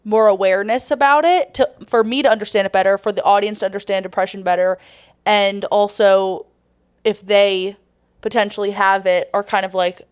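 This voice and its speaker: A woman's voice: medium pitch, steady tone, normal speed, clean tone. A young woman hosting a podcast in casual chat, giggly and chatty, with a stuffy nose. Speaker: podcast host.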